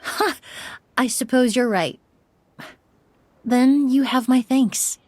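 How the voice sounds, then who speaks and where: A woman's voice: high voice, slow, darkish tone, dry recording. A woman playing a refined, composed young perfumer in a video game, explaining things politely. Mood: gracious. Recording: music playing behind the voice.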